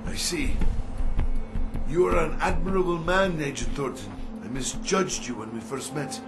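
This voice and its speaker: A middle-aged Saudi arms dealer, played by a man, talks in a fairly high voice. He is formal, grave and calculating, a video game power broker with clear music behind him. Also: fairly even intonation, close to an everyday voice. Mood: solemn, probing. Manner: formal, measured phrasing.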